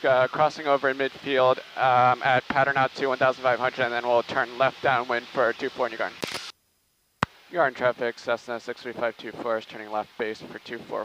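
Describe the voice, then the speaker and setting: A man pilot making clipped, procedural radio calls in aviation phraseology from a small airplane. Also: medium pitch. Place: small airplane cockpit in flight. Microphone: aviation headset. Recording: noisy small-plane cockpit intercom audio.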